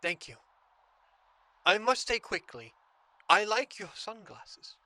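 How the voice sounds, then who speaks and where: An actor playing an obsessive book collector who grows entranced, in a horror audio drama. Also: male voice, high voice, big pitch swings, slow, sharp tone, no echo, full character voice. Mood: anxious, hesitant. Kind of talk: audio drama monologue and dialogue.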